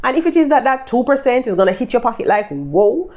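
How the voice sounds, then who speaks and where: A woman's voice: a little high, big pitch swings, fast talker, darkish tone. A Jamaican woman giving casual, chatty tutorial tips to her viewers, upbeat and addressing them directly. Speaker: vlogger giving freelancing tips.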